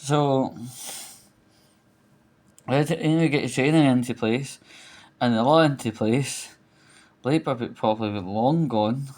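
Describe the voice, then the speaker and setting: Slow-talking man, rambling and colloquial. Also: medium pitch, darkish tone. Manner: rambling, colloquial, mildly sweary. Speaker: vlogger. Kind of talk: casual opinionated monologue to camera.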